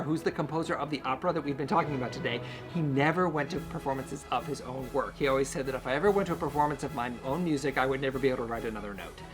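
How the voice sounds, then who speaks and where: A man hosting a music talk, telling history stories casually and animatedly, acting out short quotes as he goes. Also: a little high. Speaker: host, music educator and conductor. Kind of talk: casual storytelling about classical music history.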